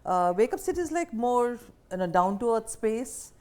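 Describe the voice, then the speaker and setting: A woman's voice: on the high side, sing-song, moderate pace, darkish tone. A woman with an Indian accent hosting an interview in a conversational way. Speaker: interviewer, show host.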